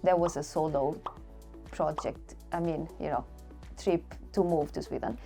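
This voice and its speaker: Mellow woman, late twenties. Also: deep voice, steady tone, measured pace, gravelly, faint music behind. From Portugal. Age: late 20s. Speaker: interviewee, solo traveller. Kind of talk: candid interview.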